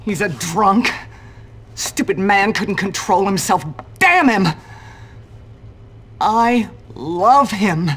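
A woman's voice: medium pitch, expressive, extremely slow, pauses often, fairly bright tone, breathy edge, full character voice. Casting: thirties schoolteacher in a video game, lovelorn and bitter, taking her time. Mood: bitter, impassioned. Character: lovelorn, bitter yet coy young female teacher.

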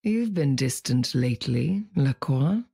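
Very low, husky villainess. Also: female voice, expressive, warm tone, dry recording, studio quality, exaggerated voice. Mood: sly, probing. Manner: measured, insinuating. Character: sinister, probing, coolly manipulative female villain.

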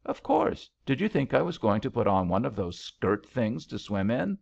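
A man's voice: medium pitch, big pitch swings, normal speed, gravelly, darkish tone. A man voicing a spirited, stubborn young woman in an audiobook love story, eager and direct. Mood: puzzled, indignant. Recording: slightly dull, lo-fi audiobook recording.

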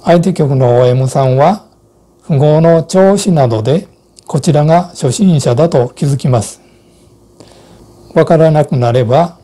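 Older man with a very dark, mellow voice. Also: on the high side. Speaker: co-host of an amateur radio Morse code channel. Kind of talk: scripted personal narration and instruction.

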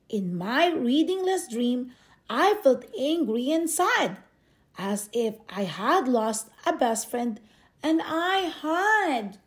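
Female storybook reader, high-pitched and unhurried, theatrical with stretched key words. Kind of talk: expressive read-aloud of a children's picture book.

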